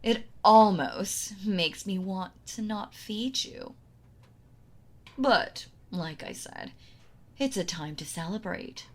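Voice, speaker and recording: A woman playing a possessive, sweetly cruel yandere wife in an intimate roleplay audio drama, gloating and mock-tender as she toys with her captive. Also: medium pitch. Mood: mockingly cheerful. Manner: slow taunting delivery, breathy soft laughs, mock-tender.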